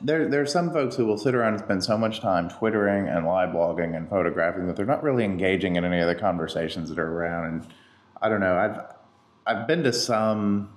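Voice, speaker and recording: Male podcast co-host, deep voice, conversational and agreeable. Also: a little fast. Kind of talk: casual conversational podcast chat.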